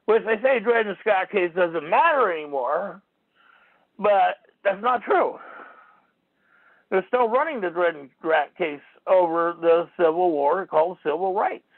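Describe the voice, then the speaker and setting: A man with a high, gravelly voice holds forth in a rambling, argumentative monologue. The sound is tinny, like a phone line, and close and dry. He circles back over his points again and again. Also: expressive, measured pace, no room sound. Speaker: talk show host. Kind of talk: rambling call-in radio monologue.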